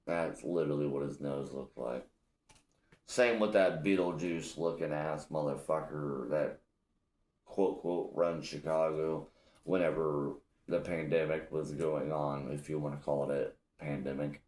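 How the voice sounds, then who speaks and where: A young man from Illinois hosts a casual solo podcast in a blunt, profane, rambling way. His voice sits very low and he talks slowly. The room around him is echoey. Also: steady tone, darkish tone. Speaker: podcast host.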